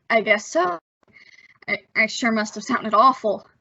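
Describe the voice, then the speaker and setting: A woman as an earnest teenage boy narrating and acting in a radio suspense play. The voice is fairly high and bright, with a bashful, halting delivery. The recording is slightly muffled. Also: animated, gravelly, heavily acted. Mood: sheepish. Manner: halting, nervous stammer with sheepish delivery.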